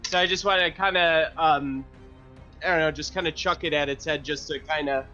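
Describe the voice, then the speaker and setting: A man's voice: a little high, fairly even intonation, normal speed, bright, forceful tone. A man playing a tabletop game, chatting casually and tentatively, with some swearing. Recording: dull lo-fi sound with faint music.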